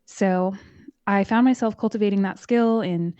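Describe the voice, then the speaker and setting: A soft-spoken woman talking calmly and evenly as a guest in a casual interview. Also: medium pitch, dry recording, muffled recording. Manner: calm, measured, soothing. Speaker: podcast host and guest.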